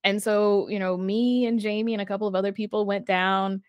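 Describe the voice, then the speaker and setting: A woman speaking as a guest in a casual livestream conversation, animated and informal, often saying "like" and "you know". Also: mid-range voice, a little fast, clear, resonant tone, dry recording, dull audio. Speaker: guest, writer and podcast creator. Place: small book-lined room indoors, on a video call.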